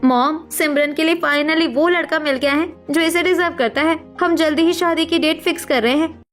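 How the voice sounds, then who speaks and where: A woman's voice: high voice, steady tone, moderate pace, fairly bright tone, faint music behind. A woman voicing a protective, outspoken young sister-in-law in a melodramatic cartoon story, speaking emphatically. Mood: encouraging. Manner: emphatic, persuasive.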